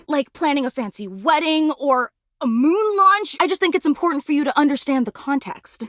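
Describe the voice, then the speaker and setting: A woman voicing an adoring, anxious superfan in a video game, effusive, dramatic and quick to put herself down. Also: a little high, no room sound, clean studio sound. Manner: effusive, dramatic, self-deprecating. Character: gushing, anxious, adoring superfan, an animate household object. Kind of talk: video game character voice acting.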